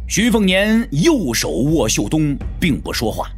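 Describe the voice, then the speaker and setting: A man's voice: on the high side, huge pitch swings, measured pace, faint music behind. A man narrating a martial-arts audio drama in a dramatic, scripted storytelling style.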